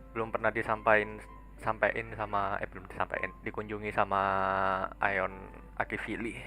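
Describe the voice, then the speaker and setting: Rambling, gravelly-voiced male game streamer. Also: on the low side, rough recording. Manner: rambling, hesitant, trailing off with soft hums. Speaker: streamer playing a video game. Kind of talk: casual let's-play commentary. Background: faint game music.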